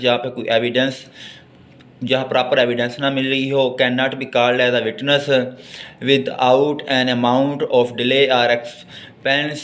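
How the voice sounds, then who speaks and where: A man's voice: mid-range voice, a bit bright. A man with a Pakistani accent lecturing on law, mixing Urdu and English as he reads out and explains.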